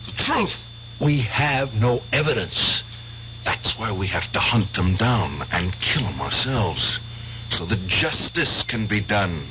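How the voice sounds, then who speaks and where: An actor playing a bitter returned war veteran bent on deadly justice, insistent and challenging, in a 1970s radio drama. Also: male voice, on the low side, sing-song, takes it slowly, pushed and bright, heavily acted. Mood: grim, vengeful. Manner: insistent, challenging with pointed questions. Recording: muffled old radio broadcast, faint hiss.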